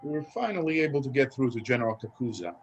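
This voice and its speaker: A man's voice: mid-range voice, expressive, fast, lo-fi sound, slight background noise, lightly acted. A man playing a dutiful army sergeant at a command post in a screenplay table read, reporting formally and plainly. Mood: matter-of-fact.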